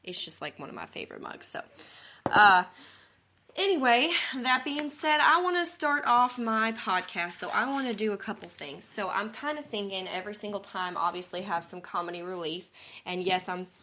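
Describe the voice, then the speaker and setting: A woman's voice: mid-range voice, animated, moderate pace, sharp tone. A young woman from Missouri with a southern accent, chatting casually and rambling with lots of fillers as she hosts her own podcast. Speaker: podcast host. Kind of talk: casual chat.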